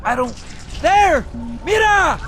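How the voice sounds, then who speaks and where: An actor playing a nervous, panicking young marine with a strained delivery in a military game cutscene. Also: male voice, very high-pitched, sing-song, slow, over music, full character voice. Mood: panicked. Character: jumpy, panicking young male marine soldier.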